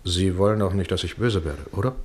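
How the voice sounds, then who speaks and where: A man's voice: sits low, a little fast, close to an everyday voice. A man acting in a radio drama as a domineering, wheedling Hollywood producer, bossy and patronizing in manner. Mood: pushy, impatient.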